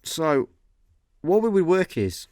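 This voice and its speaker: A man's voice: on the high side, big pitch swings, slow pace, darkish tone, no echo. A young Scottish man playing an idle, agreeable space slacker in a comic radio play, chatting casually. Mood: casual, musing. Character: laid-back, idle male space slacker, mildly eager. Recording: dry studio, faint music behind.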